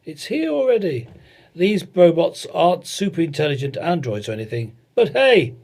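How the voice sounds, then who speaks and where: A man's voice: on the high side, very animated, normal speed, creaky, darkish tone. A man co-hosting a casual chat show, joking and reading bits of articles aloud. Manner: jokey, chatty, reads aloud. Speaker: podcast co-host.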